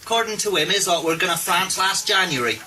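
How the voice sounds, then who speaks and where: A man's voice: high voice, fast talker, noisy background, natural voice. A man playing a sceptical, sardonic British army soldier in a radio play. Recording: crowd behind radio drama voices.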